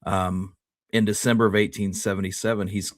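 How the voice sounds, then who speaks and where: Low-voiced man, dry studio-like sound. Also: level tone, brisk, no room sound. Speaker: history researcher and co-host. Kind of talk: casual discussion, reading out old newspaper items.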